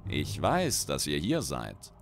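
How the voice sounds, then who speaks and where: A man's voice: rumbling low, huge pitch swings, slow pace, gravelly, soft background music, pitched below the performer's own voice, exaggerated voice. A man voicing a menacing, grandiose undead female necromancer with a hollow tone in a fantasy audiobook. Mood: eerie menace. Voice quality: hollow-sounding.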